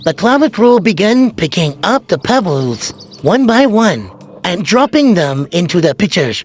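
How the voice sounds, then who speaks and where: A man's voice: high-pitched, big pitch swings, a little slow. A man narrating a children's fable, recorded with noticeable background noise. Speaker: storyteller.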